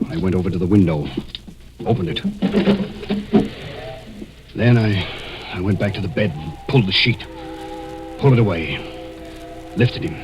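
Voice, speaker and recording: An American actor playing a cold, scheming murderer who narrates deliberately and suspensefully in a 1940s radio mystery. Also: male voice, deep voice, rough recording. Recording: vintage 1940s radio recording, music behind.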